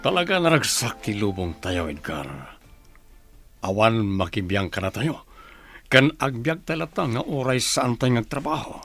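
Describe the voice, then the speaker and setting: A man playing a calm, calculating leader of a shady group in a radio drama, with an Ilocano accent. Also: medium pitch, a little slow, faint music behind. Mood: persuasive.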